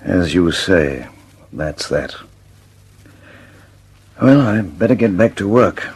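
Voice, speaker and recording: A man's voice: low voice, very animated, slow pace, darkish tone, slightly rough, full character voice. An actor playing an earnest, preoccupied aircraft designer in a mid-century radio drama, musing hesitantly and then pressing his point methodically. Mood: resigned, dogged. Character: earnest, preoccupied male British aircraft designer, thoughtful and dogged.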